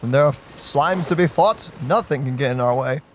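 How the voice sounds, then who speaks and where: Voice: medium pitch, a little fast, slight background noise. A let's play host giving casual, playful gaming commentary. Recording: clear game music behind. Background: video game music.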